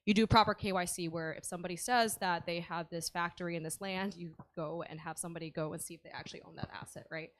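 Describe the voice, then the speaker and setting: A woman's voice: fairly low pitch, steady tone, fast talker, few pauses, slight background noise. A woman speaking on a conference panel, explaining ideas with many "right?" tags and "um" fillers, into a handheld microphone. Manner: says she has a loud voice. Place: conference stage.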